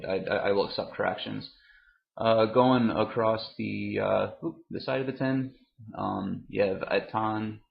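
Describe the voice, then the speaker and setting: Low, gravelly man rambling in a roomy space. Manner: halting, hesitant, repeats words. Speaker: vlogger reviewing tobacco products. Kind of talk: unscripted rambling product review to camera. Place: room at home, indoors. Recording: dull, roomy webcam sound.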